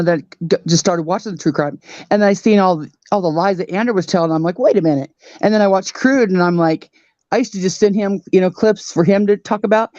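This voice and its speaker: A man in his fifties hosting a channel, talking casually in a halting way and often repeating his words. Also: high voice, darkish tone, no room sound, muffled recording. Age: 50s. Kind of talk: casual chat.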